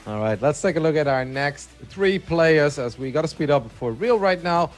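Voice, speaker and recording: A man hosting a poker broadcast, briskly introducing players and handing off to his co-host. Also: on the high side, expressive, faint music behind.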